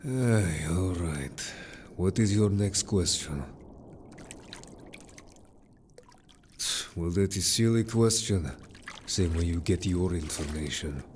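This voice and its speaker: A man's voice: deep voice, a little slow, a little mellow, a little hiss or hum. A man playing a protective, earnest merman in an audio story, speaking bluntly and a little formally to the listener. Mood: wry, guarded. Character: gruff, protective male merfolk, loyal patrolling adventurer. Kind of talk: audio drama roleplay addressed to listener.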